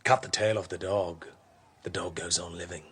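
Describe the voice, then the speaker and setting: Husky, forceful, scornful king. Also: deep voice, steady tone, normal speed, exaggerated voice. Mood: cold, ruthless. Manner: scornful, declamatory, emphatic. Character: contemptuous, tyrannical male king obsessed with order. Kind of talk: radio drama acting.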